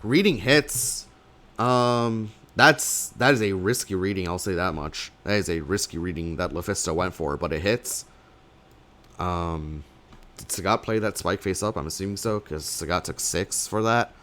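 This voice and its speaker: A young man, slightly sick and losing his voice, casting a card game tournament alone in rambling play-by-play full of fillers, with sudden bursts of excitement. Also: deep voice, normal speed. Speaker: tournament caster. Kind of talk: solo play-by-play commentary.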